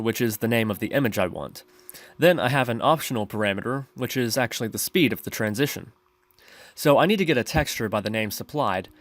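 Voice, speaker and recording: A man's voice: mid-range voice, no echo. A man narrating a step-by-step screencast tutorial, explaining his reasoning as he goes. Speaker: programming tutorial host.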